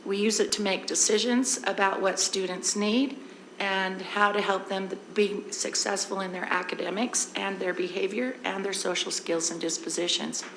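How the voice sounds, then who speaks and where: Hoarse woman, district presenter, easygoing and explanatory, in a roomy hall with faint noise. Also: mid-range voice, fairly even intonation, moderate pace, fairly bright tone. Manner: conversational, explains with everyday examples. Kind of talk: informal presentation at a board meeting. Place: board meeting room.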